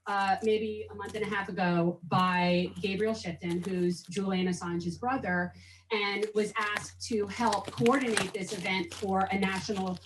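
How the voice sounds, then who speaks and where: A woman hosts a panel and introduces the speakers in a relaxed, chatty way. Her voice sits at a middle pitch and sounds roomy, picked up from some distance away from the mic, with faint ambient noise behind her. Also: unhurried. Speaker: event host and moderator. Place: covered outdoor patio with plants.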